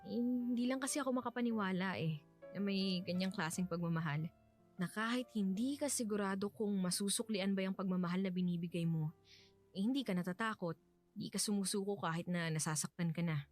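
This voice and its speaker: A woman with a Filipino accent playing a tender widow in a radio drama, softly and hesitantly opening her heart to love again. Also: medium pitch, a little fast, faint music behind, natural voice. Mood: tender, earnest. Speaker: radio drama actress and narrator.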